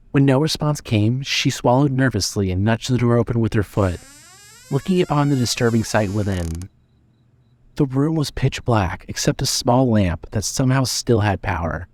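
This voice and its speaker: A man narrating a scripted dramatic story in a measured reading manner. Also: medium pitch, a little mellow, dry recording.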